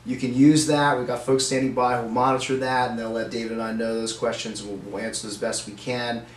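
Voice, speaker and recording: A man's voice: mid-range voice, level tone, fairly quick. A middle-aged man hosting a live webcast, chatty and upbeat with frequent fillers. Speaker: host. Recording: roomy, faint noise.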